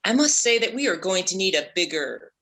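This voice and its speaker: A formal sea captain from a stage comedy script, played by a woman. The voice is bright and forceful, and the phrasing is pompous and old-fashioned. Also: fairly low pitch, big pitch swings, fairly quick. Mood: grave, pompous. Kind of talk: theatrical script table read.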